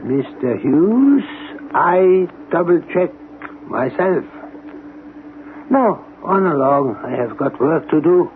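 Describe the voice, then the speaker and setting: An arrogant, eccentric older scientist in a 1970s radio drama. He speaks slowly and pompously, utterly sure of himself. The sound is thin and narrow, with clear noise over it. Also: a little high, lively intonation. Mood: haughty, firm. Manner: pompous, deliberate. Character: eccentric, arrogant older genius scientist, smugly self-assured. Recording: noisy, narrow-band 1970s radio recording.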